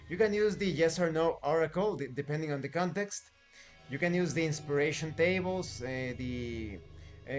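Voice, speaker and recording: A man with a fairly high voice presents an informal game review. He explains step by step, pausing and restarting, and slips in conversational asides. Faint music plays behind him. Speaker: host of a tabletop game review show. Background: background music.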